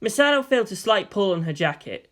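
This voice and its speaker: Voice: mid-range voice, big pitch swings, brisk. A narrator giving a dramatic scripted reading of a story.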